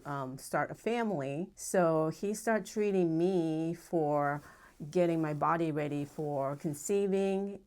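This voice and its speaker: A middle-aged woman from Japan with a Japanese accent, a pediatric acupuncturist telling her story in a measured, earnest way with frequent pauses. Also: low voice, a little mellow, clear, resonant tone. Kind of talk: personal story told to camera.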